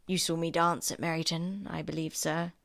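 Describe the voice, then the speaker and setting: A proud, reserved young gentleman acted by a woman narrator in a classic audiobook. He speaks coolly and formally, in a low, composed voice with clipped manners. The recording is very dry. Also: little pitch movement, moderate pace, vocal fry, dry recording. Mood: cool, curt. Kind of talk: audiobook character acting.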